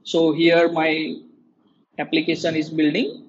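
A man giving a halting, step-by-step screen tutorial as a programming lecturer. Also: fairly high pitch, flat delivery, dull audio, slight background noise. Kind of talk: screen-recorded tutorial explanation.

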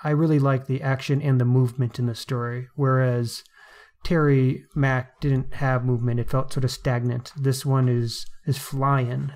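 Guy on a book podcast, medium pitch, thinking out loud, appreciative and chatty. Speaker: podcast co-host. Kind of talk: casual book discussion.

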